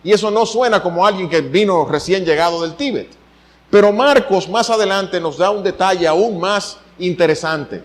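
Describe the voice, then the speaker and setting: A man's voice: sits high, moderate pace. A middle-aged man from the Dominican Republic with a Dominican accent, preaching a sermon as pastor, his voice swinging upward to stress a point. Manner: animated preaching, voice swinging up for emphasis.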